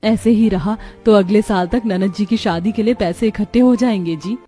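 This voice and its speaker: A woman voicing a gentle, patient and worried young mother in a cartoon story. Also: mid-range voice, moderate pace, soft background music, close to an everyday voice. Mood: soft, worried.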